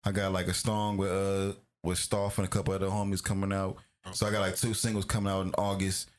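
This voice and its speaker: A man's voice: low voice, little pitch movement, quick pace. A man, co-host and rapper, talking casually in rambling, stop-start phrases. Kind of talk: casual chat.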